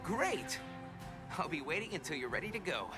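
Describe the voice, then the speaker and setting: A gracious village friend in a video game, really expressive, music behind. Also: male voice, on the high side, normal speed. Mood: warm, sincere. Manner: sincere, gracious. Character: kind, grateful male villager and ally. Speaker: game character.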